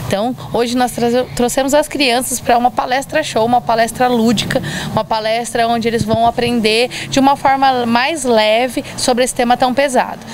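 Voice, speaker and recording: A woman's voice: fairly high pitch, steady tone, normal speed, slight background noise. A woman in her thirties giving an on-camera news interview outdoors, speaking earnestly into a handheld reporter mic with some hesitations. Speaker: education official. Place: outdoors by a building, street traffic.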